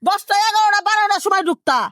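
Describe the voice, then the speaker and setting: Woman playing a shrill-pitched, scolding village mother-in-law. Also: fairly even intonation, fast talker, fairly bright tone, dry recording, clean studio sound. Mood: outraged. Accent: rural Bangladeshi Bengali. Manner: haranguing, repeating rhetorical questions. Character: scolding, old-fashioned, easily scandalised Bangladeshi village mother-in-law. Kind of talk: improvised-sounding domestic drama acting.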